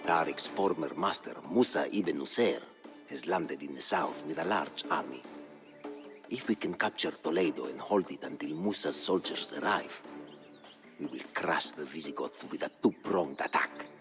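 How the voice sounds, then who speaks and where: Solemn Berber chronicler, very low voice, measured dramatic game narration, proud and formal, loud music behind. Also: male voice. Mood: solemn, awed. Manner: grave, measured, formal storytelling. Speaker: campaign story narrator. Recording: studio voice-over with music behind.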